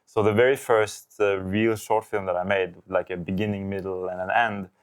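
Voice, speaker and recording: A man's voice: low-pitched, little pitch movement, moderate pace, clean tone. A man from Norway, a film director, answering interview questions thoughtfully, with many fillers and restarts.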